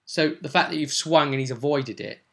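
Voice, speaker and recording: A man's voice: on the high side, a little fast, gravelly, a bit bright. A man chatting casually as a game master, recapping a tabletop role-play session and acting out bits as he goes.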